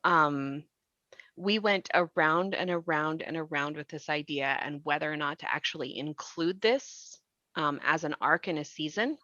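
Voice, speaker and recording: A woman explaining things as a podcast guest, animated and emphatic, on slightly dull audio. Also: sits low, no room sound. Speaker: podcast writer and actor, guest. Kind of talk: explanatory discussion.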